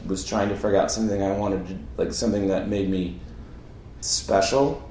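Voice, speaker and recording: A man around thirty talks in a loose, rambling way, often trailing off and restarting. His voice is on the low side. The room around him is echoey, with a faint hiss. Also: steady tone, normal speed, dark tone. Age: 30s. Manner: laid-back, rambling, hesitant. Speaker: comedian podcast co-host. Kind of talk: casual chat. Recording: roomy echo with faint hiss.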